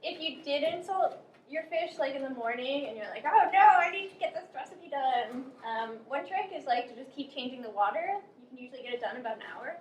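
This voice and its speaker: A young woman as a guest cook, explaining a recipe step by step in a relaxed way with plenty of fillers. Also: high voice, fairly quick, lo-fi sound. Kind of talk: casual cooking instruction. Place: outdoor cooking spot at a river park. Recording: echoey, faint noise.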